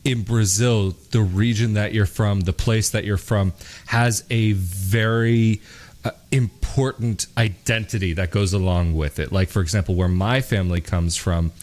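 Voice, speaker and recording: A man's voice: on the low side, steady tone, a little slow, a little mellow. A young man with a Portuguese accent hosting an interview podcast, talking at length with fillers into a studio microphone. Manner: chatty, explains at length with fillers. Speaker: podcast host. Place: home studio, in front of a picture backdrop. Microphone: studio mic on a boom, with headphones.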